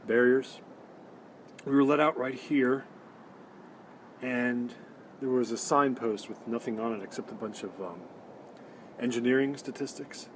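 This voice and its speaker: A man acting in an audio drama as someone recalling a desert trek in a halting, natural way, with ambient noise behind him. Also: medium pitch, a little mellow, lightly acted. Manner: hesitant, halting recollection.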